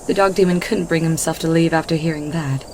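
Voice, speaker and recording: A woman with a slightly low voice narrates a scripted story. It is a home recording, with noticeable noise behind her reading. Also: steady tone, a little fast, darkish tone.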